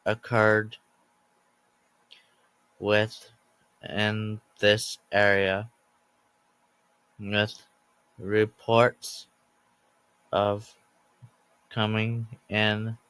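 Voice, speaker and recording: A man reading a scripted emergency alert announcement as narrator, in a halting way that breaks sentences into short groups of words. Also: on the low side, pushed and bright, clear, resonant tone, dry recording, rough recording.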